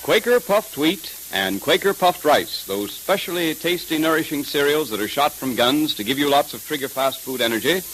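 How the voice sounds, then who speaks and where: A man's voice: fairly high pitch, expressive, normal speed, hardly any pauses, a bit bright, faint music behind, low-quality recording. An American man reading scripted ads and story narration as an emphatic, dramatic 1930s radio announcer. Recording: hissy 1930s radio transcription.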